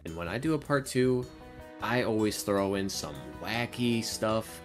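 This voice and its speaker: A man's voice: on the low side, expressive, measured pace, soft background music. A man chatting casually on a podcast as a creator of audio roleplay videos, talking in a self-deprecating way about his own work.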